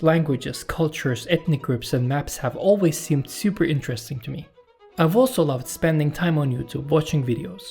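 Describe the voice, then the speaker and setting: A Turkish man, a native Turkish speaker, hosting his channel and reading out viewer questions and his answers in a calm, measured voice-over. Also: fairly high pitch. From Turkey. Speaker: YouTube channel host. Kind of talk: scripted Q&A voice-over.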